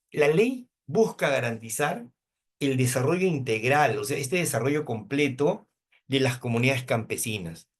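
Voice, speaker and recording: A Peruvian man in his fifties teaching a law class, asking rhetorical questions and answering them himself. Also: medium pitch. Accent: Peruvian Spanish. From Peru, coast. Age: 50s. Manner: asks questions and answers them himself, uses hypothetical examples. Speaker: law lecturer.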